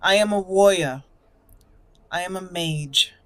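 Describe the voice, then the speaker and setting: A woman roleplaying a proud, blunt elf mage noble in a fantasy game, speaking formally and deliberately. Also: fairly low pitch, dry recording, close to an everyday voice. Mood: assertive. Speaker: host of a gaming channel. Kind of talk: improvised in-character game roleplay narration.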